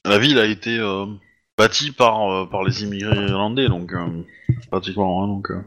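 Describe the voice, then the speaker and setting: A man voicing a patient male town official in a tabletop game, speaking formally and hesitantly with many pauses. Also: fairly low pitch, fairly even intonation, a bit bright, highs cut off, close to an everyday voice. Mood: reassuring. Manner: hesitant, polite, formal address.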